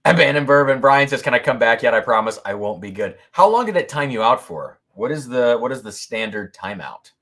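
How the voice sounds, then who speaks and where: A man hosting a whiskey-tasting livestream, talking loudly and excitedly, laughing often and reading viewer comments aloud into a boom mic. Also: brisk, few pauses. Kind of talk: casual live-stream chat. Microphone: boom mic moved back from mouth.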